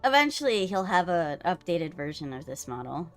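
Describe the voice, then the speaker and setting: A woman's voice: on the low side, big pitch swings, moderate pace, faint music behind. A woman chatting casually on a livestream, with a playful, teasing manner. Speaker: streamer hosting a co-op visual novel playthrough.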